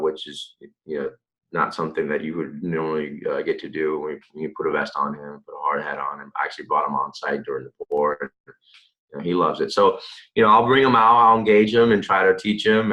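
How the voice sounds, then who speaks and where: A man in his thirties from the Dominican Republic speaks in an extremely low voice that still has a bright, forceful edge. He answers questions loosely and at length, with plenty of fillers and restarts. The sound is dull and lo-fi, like a live stream. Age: 30s. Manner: relaxed, rambling, many fillers and restarts. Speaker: interview guest, real estate developer. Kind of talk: conversational interview answers.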